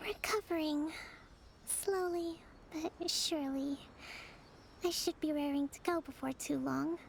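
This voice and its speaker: High-voiced, composed young priestess leader. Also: female voice, creaky, slight background noise. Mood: soft, tired. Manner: formal, measured, composed diction. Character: gentle, dignified young priestess and military leader. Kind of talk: video game voice acting. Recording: game music behind the voice.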